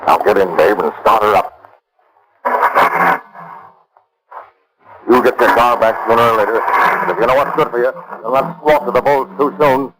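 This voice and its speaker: A man's voice: medium pitch, a little fast, lightly acted, rough recording. An actor playing a tough, cocky stick-up man in an old-time radio crime drama, talking in curt, bossy slang. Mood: menacing. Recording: vintage radio broadcast recording.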